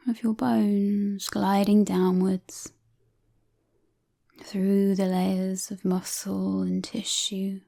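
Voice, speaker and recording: Woman, meditation guide, soft near-whisper, calm and soothing, level gentle intonation, gravelly fry at the edges. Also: medium pitch. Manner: calm, soothing, unhurried with long pauses. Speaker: yoga and relaxation guide.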